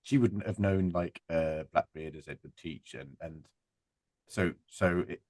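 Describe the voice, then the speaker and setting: A man's voice: deep voice, a little slow, darkish tone, no echo. A man chatting casually in a group as a writer and editor, breaking into acted-out retellings. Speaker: audio drama writer and editor. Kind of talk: casual group chat about projects.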